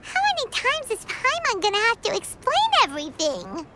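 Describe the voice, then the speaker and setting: Tiny excitable companion girl, very high squeaky voice, lively swoops, third-person chatter, loud music behind. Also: normal speed, heavy background noise, full character voice. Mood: chirpy. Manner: refers to herself in third person, squeaky and animated. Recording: game cutscene with loud music.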